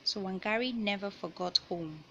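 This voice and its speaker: A woman reading a children's picture book aloud in a deliberate, teacherly way, pausing to explain and repeat key points. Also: medium pitch, bright, forceful tone, highs cut off, a little hiss or hum. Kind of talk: read-aloud storytelling with explanatory asides.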